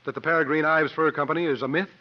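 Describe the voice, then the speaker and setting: A male actor plays a confident travelling strongman in a vintage radio drama. His voice sits a little high and his phrasing is formal and theatrical. The old recording is muffled. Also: fairly even intonation, racing, natural voice. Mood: assured, probing. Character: confident travelling strongman showman, uncle, playing sleuth. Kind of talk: 1950s radio drama acting.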